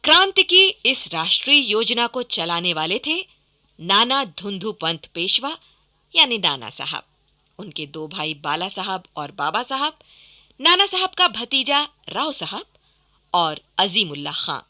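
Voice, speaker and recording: A woman's voice: medium pitch, sing-song, a little slow, bright, forceful tone. A woman narrating history from a script in a dramatic radio style.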